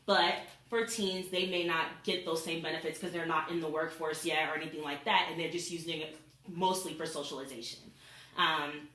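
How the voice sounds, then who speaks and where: A woman's voice: sits low, steady tone, normal speed, bright, forceful tone. A young adult woman, a college student, gives an informal persuasive speech to her classmates in a classroom, recorded by a camera across the room. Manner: informal, addresses classmates directly. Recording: echoey classroom.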